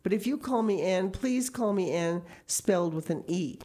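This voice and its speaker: A woman's voice: medium pitch, animated, normal speed, dark tone, pitched above the performer's own voice, natural voice. A woman voicing a dramatic, imaginative orphan girl of about eleven who speaks in gushing, theatrical outbursts. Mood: eager, wistful. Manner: gushing, theatrical, tearful pleading.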